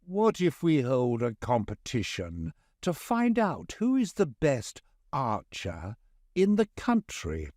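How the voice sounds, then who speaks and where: A man's voice: medium pitch, huge pitch swings, takes it slowly, a little mellow, no room sound, studio quality. Man voicing a sly, scheming storybook sheriff. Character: scheming, smug male sheriff villain of a children's tale.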